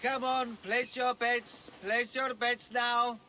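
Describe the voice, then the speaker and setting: An actor playing a harried, downcast betting hawker in an ancient Greek video game world. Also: male voice, extremely high pitch, level tone, slow, sharp tone, slight background noise, exaggerated voice. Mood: calling out. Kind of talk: video game character acting.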